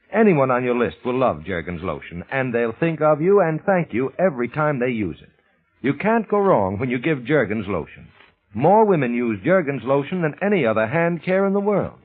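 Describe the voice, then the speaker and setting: 1940s radio announcer, tinny sound. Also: male voice, mid-range voice. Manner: smooth, persuasive announcer. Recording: husky, tinny old broadcast.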